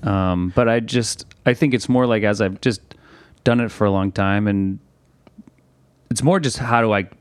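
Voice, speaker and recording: A man, a touring songwriter, talking casually on a podcast in a relaxed, rambling way. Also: fairly low pitch, moderate pace, smooth, dry recording. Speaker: touring musician and songwriter guest.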